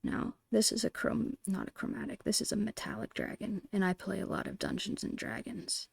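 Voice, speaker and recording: A woman whispering a casual, gentle ASMR drawing tutorial in a breathy, unhurried voice. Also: medium pitch, steady tone, no room sound. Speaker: ASMR host and digital artist.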